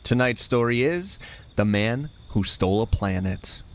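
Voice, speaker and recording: A man reading a scripted, spooky campfire-style introduction as host, in a measured and dramatic storytelling manner. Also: mid-range voice, animated.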